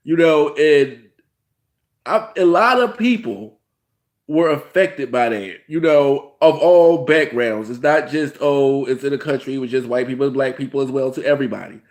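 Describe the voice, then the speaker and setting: A man's voice: a little high, darkish tone. Rambling man in his thirties with a cold. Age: 30s. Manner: rambling, frequent "you know" fillers, restarts. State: has a cold. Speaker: host. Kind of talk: casual reality-TV review vlog.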